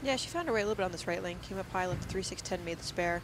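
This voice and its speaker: A woman's voice: fairly low pitch, racing, heavy background noise. Woman breaking down bowling technique. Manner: explains technique in detail, steady and instructive. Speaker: color commentator and technical analyst. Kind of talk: analytical sports commentary. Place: commentary booth at a bowling arena.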